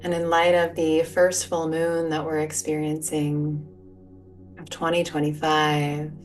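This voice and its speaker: A woman leading a guided relaxation as a yoga teacher, speaking in a soothing, gentle, unhurried manner. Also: low-pitched, completely flat delivery. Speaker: yoga nidra meditation guide. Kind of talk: guided meditation, soft spoken instruction. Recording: distant mic, music behind. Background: soft music or sound bowls.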